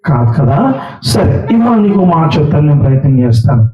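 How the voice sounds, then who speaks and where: A man's voice: a little high, big pitch swings, fairly quick, warm tone. A man teaching children at a Sunday school puppet show, coaxing and questioning a child in a large hall. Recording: roomy, distant, dull sound.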